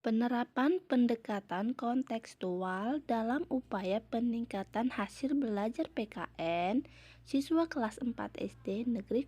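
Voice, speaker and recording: A woman's voice: fairly high pitch, dark tone, slightly rough. A young woman reads a research presentation aloud in a formal, recited manner, as a university student presenter. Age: young adult.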